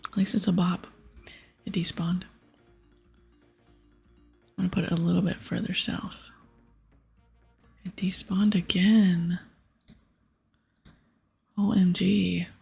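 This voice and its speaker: Whispery, husky woman gamer with creaky edges. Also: fairly low pitch, level tone, slow, pauses often, faint music behind. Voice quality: breathy, hushed. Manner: mostly whispering, with stretches of soft speech. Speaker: ASMR gaming streamer. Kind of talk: ASMR game playthrough with whispered commentary.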